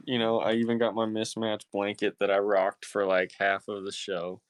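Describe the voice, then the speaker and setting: A man's voice: lowish voice, monotone, normal speed, no room sound. A man hosting a podcast in casual, slangy chat with some swearing and laid-back phrasing. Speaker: podcast host.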